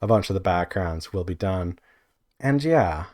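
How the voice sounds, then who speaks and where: Male indie creator, lowish voice. Casual vlog delivery, unscripted and hesitant, self-correcting, thinking out loud. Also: animated, a little slow. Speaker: indie visual novel developer giving updates. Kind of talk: casual rambling vlog update.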